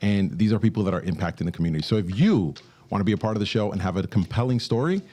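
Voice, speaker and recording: A man's voice: on the low side, animated, very fast, mellow. A man hosting a radio show, chatting casually and switching between English and Spanish with upbeat energy. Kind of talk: casual bilingual radio talk. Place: radio studio.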